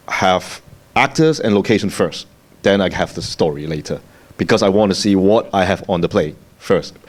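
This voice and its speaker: A filmmaker from Hong Kong with a Cantonese accent, speaking haltingly with fillers and repeated words at a festival panel. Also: male voice, low-pitched, a little mellow.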